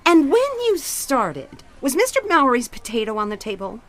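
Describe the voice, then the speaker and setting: A woman's voice: high voice, wildly swooping pitch, normal speed, fairly bright tone, exaggerated voice. A woman playing a polite, composed county fair organizer with a placating manner in a comic audio drama. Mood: questioning.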